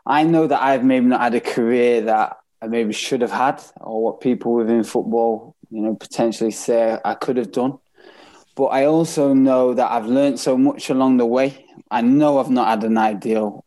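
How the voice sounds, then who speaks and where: A man's voice: mid-range voice, mellow. A man with a Manchester accent, speaking candidly and reflectively as he tells his life story as an interview guest. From England, born in Bath and later moved north. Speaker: interview guest, professional footballer.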